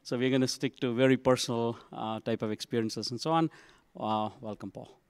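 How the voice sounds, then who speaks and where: A man moderating a business panel at a conference, introducing the speakers to the audience in a relaxed, halting way with many fillers. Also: medium pitch, smooth. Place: conference session room.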